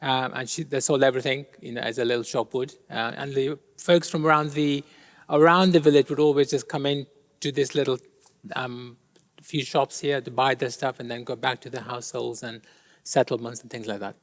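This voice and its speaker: A man's voice: mid-range voice, fairly even intonation. Hesitant man in a reverberant church. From Kenya. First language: Gujarati. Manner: thoughtful, with many ums and pauses. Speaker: interview guest. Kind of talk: interview answers. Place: large church. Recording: long reverb of a large church hall.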